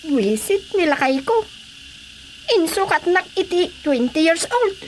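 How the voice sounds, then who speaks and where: Casting: plaintive Ilocano village wife, high voice, radio comedy drama, faint noise behind. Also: female voice, animated, unhurried, poor audio.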